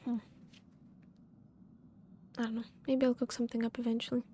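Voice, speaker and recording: A woman's voice: on the high side, level tone, fairly quick, mellow, slight background noise. A woman chatting casually as she live streams a game, giggly and meandering.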